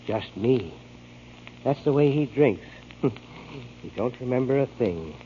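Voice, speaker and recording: Menacing, sly dockside crook, muffled radio. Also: male voice, mid-range voice, expressive, takes it slowly, dark tone. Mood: sly, threatening. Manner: needling, insinuating tough talk. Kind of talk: 1940s radio drama acting. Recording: muffled, noisy 1940s radio broadcast.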